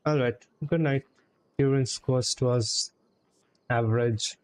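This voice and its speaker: A man's voice: medium pitch, steady tone, slow, a little mellow. A man giving casual livestream commentary as a game streamer, blunt and unenthusiastic in manner. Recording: clear background noise.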